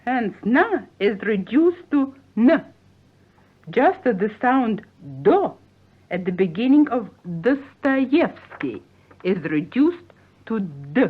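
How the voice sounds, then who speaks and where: A woman's voice: a little high, very animated, takes it slowly, breathy edge. A woman giving a scripted 1950s radio language lesson in a precise, carefully enunciated teaching manner. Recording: tinny, phone-like 1950s radio recording.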